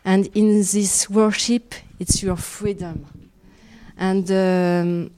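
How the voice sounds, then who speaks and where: A woman's voice: mid-range voice, fairly even intonation, very slow, dark tone. A woman with a French accent, a native French speaker, giving personal, prayer-like encouragement in church and searching for words in English.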